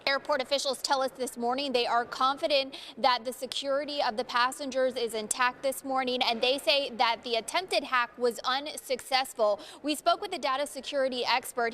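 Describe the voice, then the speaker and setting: Bright, high-voiced female field reporter. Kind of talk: live news report. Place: airport. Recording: live location audio with background noise.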